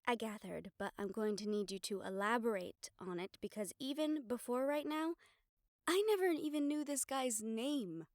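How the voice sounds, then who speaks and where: A woman acting as a vampire's supportive partner, with a somewhat high voice. She sounds wry and playful, gently probing in a conversational audio drama style. The recording is close and dry. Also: normal speed, no room sound, natural voice. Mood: gentle, probing.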